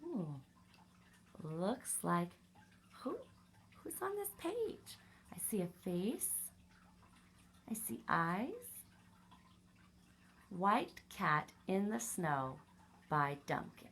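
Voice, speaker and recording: A woman's voice: medium pitch, very animated, extremely slow, long pauses, fairly bright tone, slight background noise. A woman leading an interactive children's story time, asking questions and encouraging young listeners as she reads. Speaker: library story time reader.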